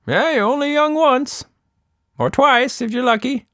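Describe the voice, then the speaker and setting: A man's voice: very high voice, unhurried, a bit bright, no echo, studio quality, voice pushed up. A man voicing a wry, easygoing neighbor lady in a children's story.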